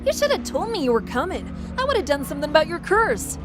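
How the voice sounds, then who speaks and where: A woman's voice: high-pitched, sing-song, fast talker, music in the background. A woman voicing a small, playfully sweet half-demon boy in an audio drama, with a chirpy, teasing manner. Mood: playful, chatty.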